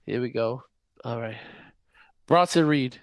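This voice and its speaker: Male podcast co-host, casual banter, high register with fry, close dry sound. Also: a little slow, no room sound. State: feverish, by own account. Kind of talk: casual banter with a music guessing game.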